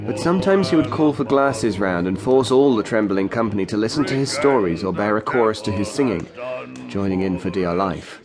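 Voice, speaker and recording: An earnest, literate male narrator played by an actor looks back on his boyhood in an audio drama. His voice sits fairly low, with music clearly underneath. The delivery is measured and dramatic. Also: animated, close to an everyday voice. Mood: grave, vivid. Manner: measured, storytelling. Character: grown man recalling his haunted boyhood, literate, earnest.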